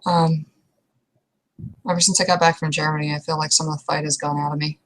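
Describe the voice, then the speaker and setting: A low female voice, halting and unsure, as a woman acts out a tough young werewolf who has grown weary. She talks in blunt, coarse phrases with searching gaps, like unscripted roleplay. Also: level tone, a bit bright. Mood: resigned, unsure. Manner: hesitant, halting, coarse-mouthed. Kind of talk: improvised in-character roleplay monologue.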